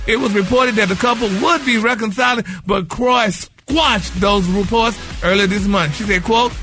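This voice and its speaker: Bright, high-voiced, sassy man dishing gossip. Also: moderate pace, faint music behind. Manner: sassy, theatrical, peppers talk with endearments. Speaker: morning radio show celebrity news reporter. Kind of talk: gossip segment, animated casual talk.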